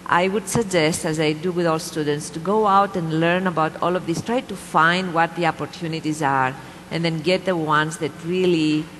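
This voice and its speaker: Measured panelist in an echoey hall. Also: male voice, fairly high pitch, a bit bright. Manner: measured, slightly repetitive, restarts phrases. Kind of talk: panel answer. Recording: echoey hall with faint noise.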